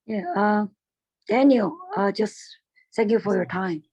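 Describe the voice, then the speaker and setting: A woman hosting a podcast on a video call, halting and repeating herself. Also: medium pitch, darkish tone, breathy edge, muffled recording. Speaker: podcast host. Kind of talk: casual video call chat.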